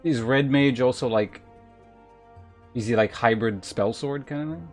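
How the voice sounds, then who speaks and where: This is a man hosting a casual game stream, with music faintly playing behind him. He talks at a middling pitch and rambles as he thinks out loud. His delivery moves between chat and reading aloud, with wry asides along the way. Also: unhurried.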